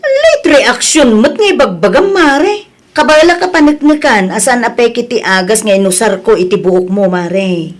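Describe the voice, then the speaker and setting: A woman with an Ilocano accent playing an exasperated, defensive village hairdresser in an audio drama, with expressive exclamations. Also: a little high, normal speed. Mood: defensive, firm. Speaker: drama voice actor.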